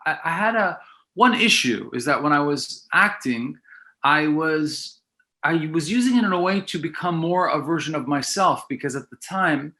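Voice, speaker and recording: Bright-voiced reflective male filmmaker. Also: on the high side, animated, moderate pace. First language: Italian. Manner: reflective, many restarts and repeated words. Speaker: filmmaker guest. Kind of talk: casual interview chat.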